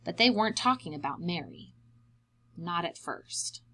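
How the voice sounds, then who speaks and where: A woman's voice: lowish voice, expressive, unhurried, bright, forceful tone. A young adult woman reading a picture book aloud as a preschool teacher, explaining things simply to young children.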